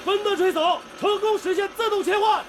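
An actor playing a blunt, cautious and excitable steel-mill colleague in a Chinese radio drama. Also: male voice, very high-pitched, a little fast, slight background noise. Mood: excited report.

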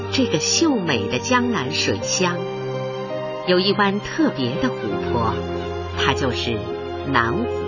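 A man delivers scripted, dramatic narration for a radio play. He speaks slowly and deliberately. The recording is dull, with music clearly playing underneath. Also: on the high side. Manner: measured, dramatic storytelling.

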